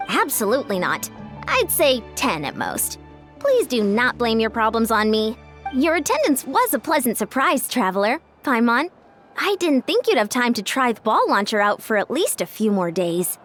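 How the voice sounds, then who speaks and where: An actress playing an earnest, brisk, energetic young female sports coach in a video game. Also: a little high, soft background music. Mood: modest, frank.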